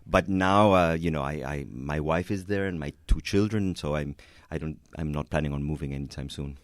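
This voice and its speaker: A man's voice: a bass voice, normal speed, no echo, studio quality. A man from Venezuela, a concert pianist, answering interview questions in a hesitant way, with many restarts and light chuckles.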